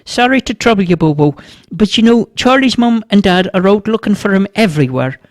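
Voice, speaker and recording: A man's voice: high-pitched, lively intonation, brisk, voice pushed up. A man voicing an older, hard-of-hearing Irish woman neighbour, chatty and apologetic, in a storybook. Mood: apologetic, gossipy.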